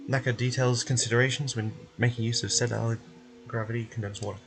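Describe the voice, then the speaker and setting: A man's voice: medium pitch, steady tone, quick pace, music in the background. A man playing a video game on stream, narrating and commenting as he goes. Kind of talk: live playthrough commentary and narration.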